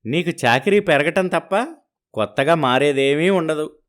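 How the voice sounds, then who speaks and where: A sardonic middle-aged husband in a cartoon family story, played by a man. He grumbles sceptically and teases, in a fairly high male voice. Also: animated, unhurried. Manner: sardonic, teasing.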